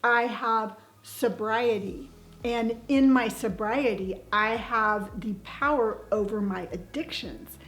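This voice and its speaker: A woman's voice: a little high, slow pace, a bit bright, poor audio. A middle-aged woman talking earnestly to her viewers about taking back her power, emphatic, sighing now and then and restarting her words. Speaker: vlogger sharing life advice. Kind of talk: personal motivational talk to camera.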